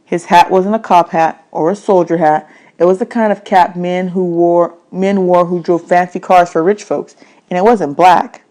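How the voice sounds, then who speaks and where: Low-pitched woman reading a story aloud. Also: steady tone, normal speed, warm tone. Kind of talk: expressive audiobook narration of a children's novel.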